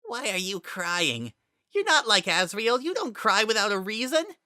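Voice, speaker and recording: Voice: mid-range voice, wildly swooping pitch, unhurried, pushed and bright, no room sound, clean studio sound, pitched above the performer's own voice, full character voice. A performer voicing a bitter, mocking, anguished lost child spirit in a strained, breaking voice, as in a dramatic storybook reading. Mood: bitter taunting. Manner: taunting and wounded.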